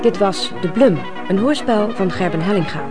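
A woman with a mid-pitched voice reads credits formally as a radio announcer. Loud brass music plays along with her. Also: lively intonation, moderate pace. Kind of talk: formal scripted closing credits.

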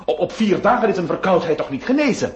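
A man acting in a radio play as an excitable, distraught nineteenth-century gentleman, stammering and melodramatic. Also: high-pitched, quick pace. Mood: anxious. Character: distraught, excitable 19th-century gentleman who lost his nose. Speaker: radio drama actor. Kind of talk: theatrical Dutch-language radio play acting.

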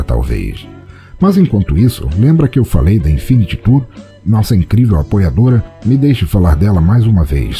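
Brazilian male podcast host, very low mellow voice, theatrical and playful delivery over music. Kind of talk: scripted podcast narration and listener feedback.

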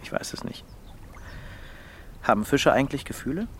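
A man's voice: medium pitch, sing-song, normal speed, a bit bright, slightly rough, full character voice. An actor playing a burnt-out, melancholy male poet who speaks in a resigned, dry, hesitant way in a radio drama. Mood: weary, wry. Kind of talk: naturalistic radio drama dialogue and diary narration.

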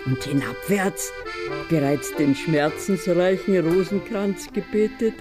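A pious, slow-spoken countrywoman. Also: lowish voice, over music. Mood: pious, doting. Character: pious, doting, chiding country woman. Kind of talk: German-language radio drama acting.

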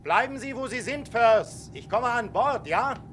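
An actor playing a strict, brisk customs officer with an authoritative manner in a German crime radio play. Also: male voice, extremely high pitch, animated, a bit bright, a little hiss or hum, exaggerated voice. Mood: reproachful. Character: stern, clipped customs officer, reluctantly strict.

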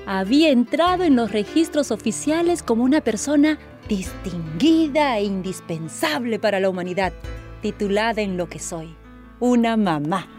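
An actress playing a proud, witty mother who narrates her own anecdote in a measured, expressive radio play style. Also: fairly high pitch, faint music behind, natural voice. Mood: triumphant. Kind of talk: radio drama storytelling.

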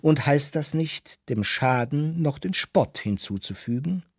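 An actor playing a wry, learned seafaring storyteller, lecturing the listener in a literary radio drama. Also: male voice, fairly high pitch, lively intonation, measured pace, breathy edge, dry recording, clean studio sound, exaggerated voice. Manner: mock-scholarly, addresses listeners, rhetorical.

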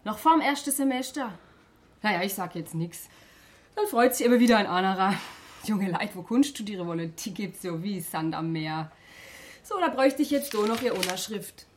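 An actress playing a fussy, mildly disapproving office clerk with a southern German accent, chatty and grumbling, in a radio play. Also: female voice, medium pitch, expressive, normal speed, slight background noise, natural voice.

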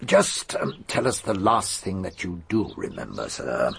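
Low, gravelly detective. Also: male voice, very animated, exaggerated voice. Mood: patient, probing. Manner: measured, gently probing, authoritative. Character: patient, courteous senior English police detective, quietly shrewd. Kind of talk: radio drama acting. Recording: noisy archive radio drama recording.

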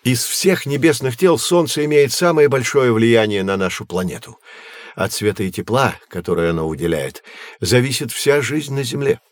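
An actor playing a learned, patient astronomy professor who explains things in a measured, didactic way in an educational audio drama. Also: male voice, mid-range voice, expressive, no room sound, natural voice. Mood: patient explaining. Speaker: teacher.

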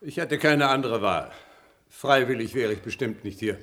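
An actor playing an uneasy, reluctant professor in a German radio play, hesitant and guarded in manner. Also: male voice, medium pitch, normal speed, a bit bright, natural voice. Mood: confused, curt. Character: uneasy, reluctant male professor visiting an institution.

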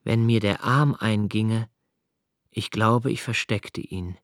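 An actor playing a brooding, solitary diarist in a radio play, with a measured, introspective delivery and an anguished, searching temper. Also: male voice, lowish voice, no echo, studio quality. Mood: self-questioning. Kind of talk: literary monologue in a radio play.

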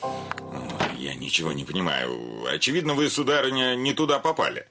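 An actor playing a self-important bank director in a theatrical radio comedy, formal and emphatic, with politeness fraying into exasperation. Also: male voice, sits low, normal speed, pushed and bright, faint music behind. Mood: bewildered. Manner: formal, emphatic, increasingly flustered.

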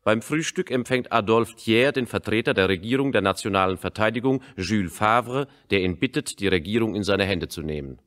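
Low-pitched male radio play narrator. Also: expressive, a little fast, few pauses, a bit bright. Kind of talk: scripted radio drama narration.

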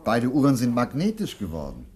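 Animated, courteous investigating doctor. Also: male voice, medium pitch, brisk. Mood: puzzled, determined. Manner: hesitant, stammering, repeats words eagerly. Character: earnest male doctor investigating strange disturbances, courteous, excitable. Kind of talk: German radio play acting.

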